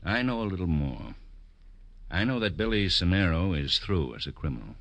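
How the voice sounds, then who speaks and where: Shrewd prison warden in old radio drama, super deep voice, formal and measured, dry close sound. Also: male voice, lively intonation, fairly bright tone, dry recording. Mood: measured, knowing. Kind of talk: 1940s radio drama acting.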